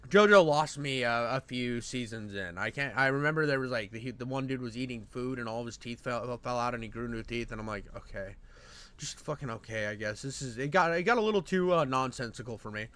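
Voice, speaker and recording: A man's voice: medium pitch, a little fast, a bit bright. A man chatting off the cuff to viewers in slangy, sweary, laid-back bro talk. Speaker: commentator. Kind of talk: unscripted casual chat to viewers.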